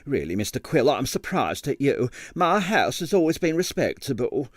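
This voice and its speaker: A man's voice: medium pitch, very animated, normal speed, no room sound, clean studio sound, voice pushed up. A man voicing a prudish, respectable landlady who speaks in a firm, disapproving, clipped manner for a storybook. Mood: prim outrage.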